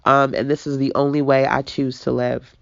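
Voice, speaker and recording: A woman from Brooklyn with a deep, raspy voice, giving a chatty motivational talk as a business coach, laughing and quoting people as she goes. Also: flat delivery, normal speed, dry recording. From Brooklyn, New York. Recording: dull lo-fi dry recording.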